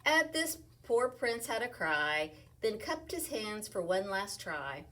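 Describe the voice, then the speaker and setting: A woman reading a picture book aloud to children in an animated way, asking them questions and sometimes dropping to a whisper. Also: mid-range voice, slow pace, distant mic. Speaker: storytime reader, teacher.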